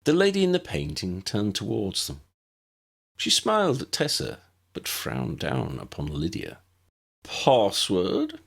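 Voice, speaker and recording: Low, creaky, very expressive male storyteller. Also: a little slow. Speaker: audiobook narrator. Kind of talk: scripted fiction narration.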